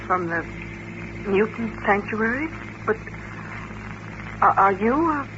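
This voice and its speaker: A muffled, noisy vintage radio recording of a young chorus girl. She is a naive woman with a very lively, swinging intonation, in the manner of 1950s science fiction radio drama. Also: mid-range voice, slow pace. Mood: curious, friendly. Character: friendly, naive young female chorus dancer, engaged, uneasy.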